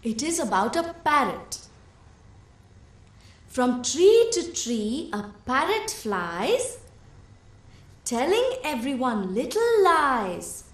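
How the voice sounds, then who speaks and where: High, animated woman teacher. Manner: slow, animated, exaggerated for children. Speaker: English teacher. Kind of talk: children's TV lesson, reciting rhymes. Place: indoor TV studio set. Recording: roomy studio, mic at a distance.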